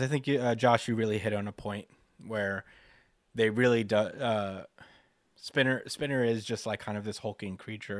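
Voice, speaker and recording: A man with a fairly low voice chats analytically as a podcast co-host. He works through his points hesitantly and thoughtfully, recorded close and dry. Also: dry recording. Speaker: manga discussion podcast co-host. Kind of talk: casual analytical chat.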